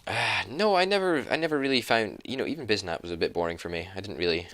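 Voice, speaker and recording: Scottish guy, very low voice but sharp tone, excited gamer chat. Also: fast, dry recording. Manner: enthusiastic, self-deprecating. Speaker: co-op gaming partner. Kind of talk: casual gaming chat.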